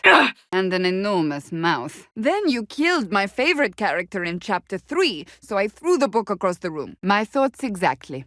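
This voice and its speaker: An actress playing a stern, blunt, devout warrior woman in a fantasy video game, speaking formally and clipped with a deadpan edge. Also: lowish voice, dry recording, clean studio sound.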